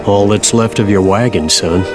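A man's voice: on the low side, moderate pace, over music, natural voice. An actor playing an older Kansas farmer father, plainspoken, folksy and patiently wry, in an audio drama.

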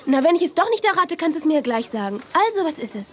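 A woman's voice: sits high, sing-song, fast talker, a little mellow. A woman playing a sensible, mildly exasperated young big sister in a children's audio drama, chiding yet patient. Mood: patient, puzzled.

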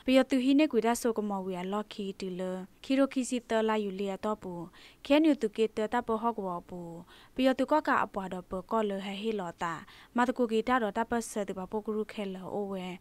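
A woman's voice: medium pitch, a little fast, darkish tone, dry recording. Woman reading radio news, dry studio sound. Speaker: news presenter.

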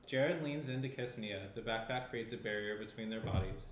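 A young man reads out screenplay action as the narrator. His voice is fairly low. The recording has audible room reflections and clear background noise. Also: a little fast. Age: young adult. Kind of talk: reading screenplay stage directions. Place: small room at a table. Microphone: shared tabletop microphone. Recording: roomy indoor sound, clear background noise.

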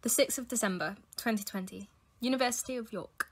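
A woman's voice: on the high side, a little fast, slightly rough. A young woman playing an anxious, insistent university student in a fan audio drama, urgent and questioning. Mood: curious. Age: young adult.

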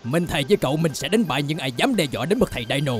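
A woman's voice: deep voice, animated, racing, loud music behind the voice. A woman voicing a solemn, loyal dinosaur robot warrior in a dubbed cartoon, earnest and resolute. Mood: resolute vow. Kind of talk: Vietnamese cartoon dub.

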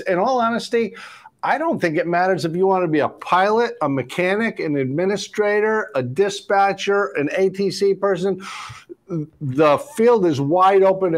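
An older man moderating a panel talk show, speaking conversationally as he takes viewer questions on a video call. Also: high voice. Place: indoor office, on a video call.

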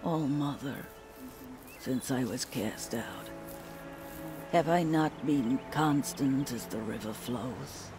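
Devout old outcast granny in a game, slow prayerful talk, music behind. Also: female voice, rumbling low, full character voice. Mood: pleading, devout. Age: older. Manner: solemn, prayerful, formal archaic phrasing. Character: devout old outcast woman, frail, praying aloud, indirect. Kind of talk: video game character acting.

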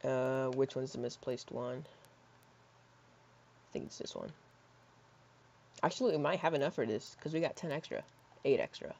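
Hoarse young guy rambling over gameplay. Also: medium pitch, moderate pace, long pauses. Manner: rambling, filler-heavy, drifts into soft mutters. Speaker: gaming YouTuber. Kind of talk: casual gameplay commentary. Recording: slightly dull lo-fi mic.

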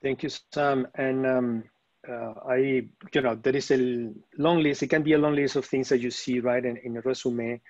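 Man from Venezuela, middle-aged, halting with fillers, dry muffled call audio. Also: mid-range voice, steady tone, a little mellow, no room sound. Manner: hesitant, many fillers and restarts. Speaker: panelist, operations executive. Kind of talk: webinar panel answer. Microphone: video call.

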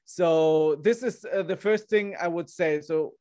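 A man's voice: on the high side, unhurried, a little mellow. A man in his thirties with a German accent, answering audience questions in an online talk from his home study on a desk microphone, candid and chatty with many restarts. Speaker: guest speaker. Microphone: desk microphone on a video call.

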